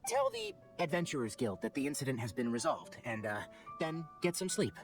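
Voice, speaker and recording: Young ranger scholar, exacting, matter-of-fact, testy, animated mid-pitched voice, game dialogue, background music. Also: male voice, fairly quick. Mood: serious, composed. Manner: crisp, lecturing, matter-of-fact. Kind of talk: video game voice acting.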